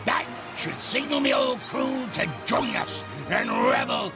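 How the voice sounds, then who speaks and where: A blustering, menacing ghost pirate captain from a cartoon game. The voice is very high and gravelly, with a thick theatrical pirate accent. It bellows grandly over loud music. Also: male voice, lively intonation, measured pace, full character voice. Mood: commanding, gloating.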